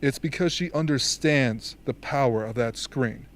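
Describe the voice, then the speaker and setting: A man playing an authoritative, measured military strategist in an amateur radio drama. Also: mid-range voice, close to an everyday voice. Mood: brisk, official. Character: commanding, dryly formal rebel military strategist briefing soldiers.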